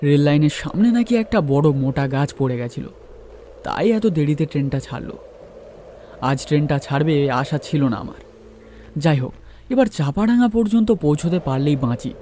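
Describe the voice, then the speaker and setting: A man's voice: on the high side, animated, normal speed, dark tone, slight background noise. A man giving a dramatised first-person narration of a ghost story.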